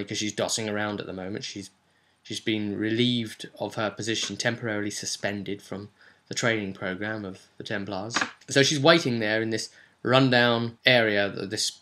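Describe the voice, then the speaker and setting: A man's voice: on the low side, sharp tone. A man speaking as a game master and video host, giving a chatty, explanatory recap of a tabletop role-play session with rambling asides.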